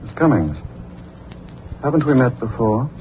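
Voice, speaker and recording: An actor playing a middle-aged judge, a smitten and evasive husband, speaking in measured, formal, hesitant phrases in a 1940s radio drama. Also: male voice, mid-range voice, sing-song, slight background noise. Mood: smooth, probing. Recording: muffled 1940s radio recording with music.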